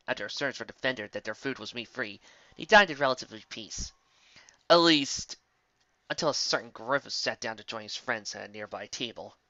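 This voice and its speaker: A woman's voice: a bass voice, pushed and bright, no echo. A woman narrating scripted fantasy fiction as a story reader, on a slightly dull recording.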